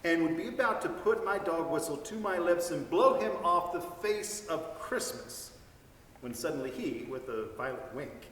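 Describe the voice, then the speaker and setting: A man giving an expressive, savoring dramatic reading of a story. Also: fairly high pitch, moderate pace, a little hiss or hum. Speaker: reader. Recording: echoey hall from far off.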